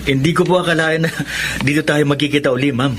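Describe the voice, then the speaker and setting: A man acting as a kind, humble, earnest suitor in a Filipino radio drama, speaking sincerely and deliberately with a Filipino accent. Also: on the high side, a bit bright, slight background noise, natural voice. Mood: flustered, friendly.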